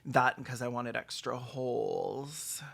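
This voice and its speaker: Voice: medium pitch, little pitch movement, slow, vocal fry, fairly bright tone. A person giving bawdy, sarcastic comedic commentary as the host of a reaction video, in a campy manner. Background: background music.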